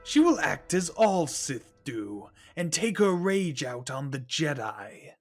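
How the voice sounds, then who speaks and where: A man narrating an audio drama as a storyteller, voicing a calm, scheming mystic. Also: a little high, slow pace, exaggerated voice. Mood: ominous, measured. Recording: music underneath.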